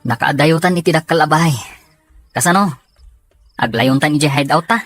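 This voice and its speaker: A man acting a questioning partner in crime in an audio drama, with a slightly husky voice. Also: fairly high pitch, moderate pace, fairly bright tone, no room sound, faint music behind. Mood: wary, questioning. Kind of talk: acted dialogue in an audio drama.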